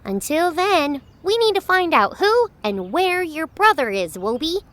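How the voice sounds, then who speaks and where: Woman as a high-voiced bold young girl. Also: sing-song, unhurried, a bit bright, exaggerated voice. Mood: curious. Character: bold, bossy, quick-witted young girl. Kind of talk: children's audio drama acting.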